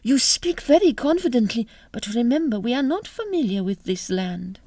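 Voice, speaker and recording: A woman playing a gentle, anxious young Victorian lady with polite, genteel manners, in a period audio drama. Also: a little high, very animated, moderate pace, no echo. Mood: worried. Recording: music behind the voice.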